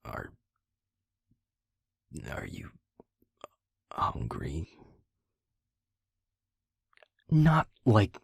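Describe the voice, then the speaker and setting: A man playing a gruff but tender, easily flustered young werewolf boyfriend in an intimate audio roleplay, speaking in a hushed, halting, husky voice. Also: sits low, huge pitch swings, dry recording, studio quality, full character voice. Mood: awkward, nervous. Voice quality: breathy, husky, gravelly. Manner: hushed, halting, grumbling affectionate swearing.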